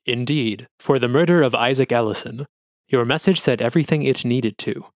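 A man's voice: medium pitch, moderate pace, no room sound, studio quality, lightly acted. A man playing a stern, formal journalist. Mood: formal cool. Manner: clipped and formal. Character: stern investigative journalist, cold, formal, quick to anger.

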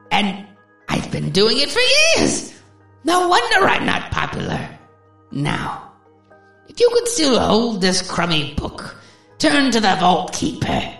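A wicked old witch, hosting a horror radio play. She taunts in a slow, theatrical drawl that swings wildly in pitch and crackles with creak. The sound is echoey. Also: huge pitch swings, fairly bright tone, faint music behind, heavily acted. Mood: gleeful taunting.

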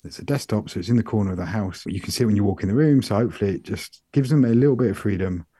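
Middle-aged British man, soft-spoken co-host, deep mellow voice, dry close mic. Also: very fast, husky, dry recording. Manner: soft-spoken, relaxed and rambling. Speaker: podcast co-host. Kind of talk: casual chat.

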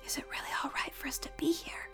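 A woman's voice: fast, whispered, music in the background, lightly acted. A woman voicing a young female pig in a story, anxious, loyal and outspoken, with urgent, pleading questions. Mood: timid, hushed.

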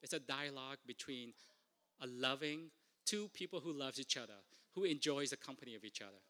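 A man's voice: medium pitch, a little fast, bright, forceful tone. A man with a Vietnamese accent preaching a sermon as pastor, earnest, often repeating and restarting his words. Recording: long reverb of a large hall.

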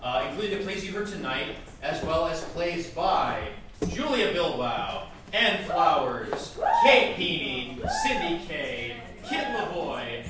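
A man's voice: a little high, very animated, takes it slowly, hardly any pauses. A man making informal, rambling, wry announcements to an audience as host of a live play reading. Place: live event room. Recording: very echoey live room, distant mic, noisy.

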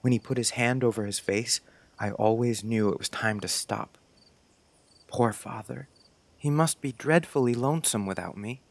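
A man's voice: medium pitch, moderate pace, creaky, no echo, lightly acted. A man playing a sensitive, dreamy little schoolboy in a storybook, speaking in an earnest, wistful, confiding way.

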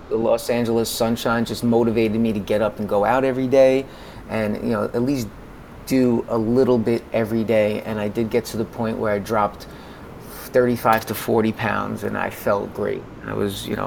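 An American man in his forties speaking conversationally as an interview guest, in a halting way that often repeats words. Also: lowish voice, level tone, creaky, a little mellow, slight background noise. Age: 40s. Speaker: podcast guest, music consultant.

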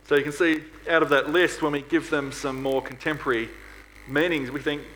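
A man's voice: a little high, fairly even intonation, brisk, fairly bright tone, slight background noise, poor audio. A man preaching a sermon to a congregation in an earnest manner, often putting rhetorical questions to his listeners. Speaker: preacher at a church.

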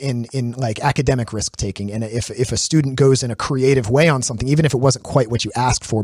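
A middle-aged man, hosting a relaxed conversation about faith. He speaks reflectively, circling back and restarting as he thinks, in a voice of middling pitch. The sound is close and dry. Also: no room sound. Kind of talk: casual discussion.

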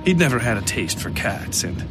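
A man narrating dark horror fiction in a dramatic, scripted storytelling style. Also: medium pitch, very animated, normal speed. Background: music bed.

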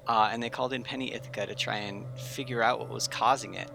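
A man's voice: mid-range voice, steady tone, fast talker, vocal fry, sharp tone, faint music behind, rough recording. A man playing in a tabletop game, telling his part in a casual, improvised way.

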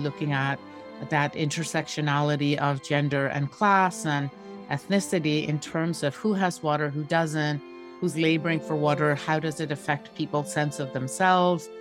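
A woman from Bangladesh speaks in a low register, stringing together long lists of ideas. Soft music plays faintly underneath her, and her tone stays measured and reflective. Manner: speaks in long chains of listed points. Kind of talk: reflective interview talk. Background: background music.

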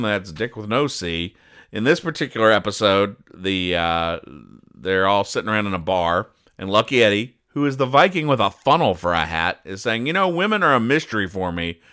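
Bright, low-voiced man with a deadpan delivery. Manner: deadpan, mock-serious explaining with halting asides. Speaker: podcast host. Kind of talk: deadpan comedic commentary, recapping comic strips.

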